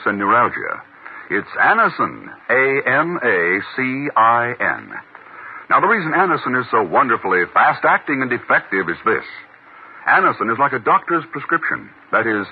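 A man working as a radio announcer, delivering an emphatic, deliberate 1950s commercial pitch. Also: slight background noise. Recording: tinny old radio broadcast.

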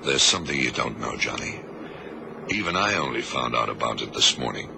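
An actor playing a cool, authoritative government agent in a radio drama, explaining things in a measured, commanding way. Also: male voice, very deep voice, animated, gravelly, full character voice. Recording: noisy old radio recording.

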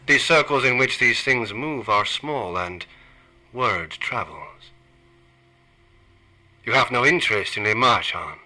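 Sinister dealer, male, cold and clipped, bright edgy tone, hushed menace, played by a man. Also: medium pitch, expressive, slow pace, long pauses, exaggerated voice. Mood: cold, menacing. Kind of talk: radio play acting.

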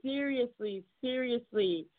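A woman's voice: a little high, takes it slowly. A woman hosting an interview, enthusiastic, repeating words for emphasis. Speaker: interview host. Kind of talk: interview conversation. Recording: tinny phone-like audio, dry.

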